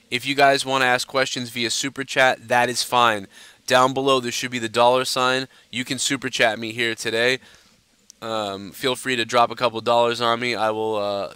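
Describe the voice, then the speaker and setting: A young man hosting a live stream and answering viewer questions in a loose, profane, blunt way, his nose a bit stuffed up so he sounds slightly nasal. His voice is bright and clean, and the recording is dry, with no room echo. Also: medium pitch, little pitch movement, normal speed, smooth. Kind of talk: casual live-stream chat.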